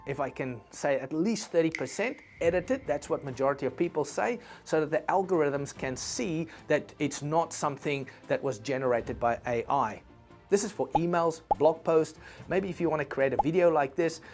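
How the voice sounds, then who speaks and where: A man whose first language is Afrikaans, giving a conversational instructional talk with asides to the viewer. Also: fairly high pitch. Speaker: business presenter.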